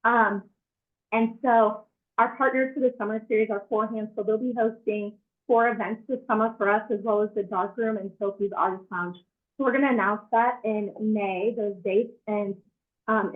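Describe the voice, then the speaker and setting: A hoarse woman, an events director, giving upbeat updates on a community video call. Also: mid-range voice, fairly even intonation, moderate pace. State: hoarse, losing her voice. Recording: tinny phone-like call audio.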